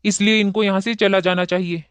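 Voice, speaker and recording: Man as a stern, even-toned elder brother. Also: high-pitched, fairly quick, smooth, dry recording. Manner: reproving. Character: stern, unsympathetic older brother of the family. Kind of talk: cartoon moral story acting.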